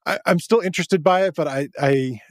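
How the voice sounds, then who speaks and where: A man's voice: on the high side, fairly quick, dry recording, studio quality. A man hosting a podcast, upbeat and affirming as he asks his guest open questions. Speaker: podcast host. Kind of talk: casual interview chat.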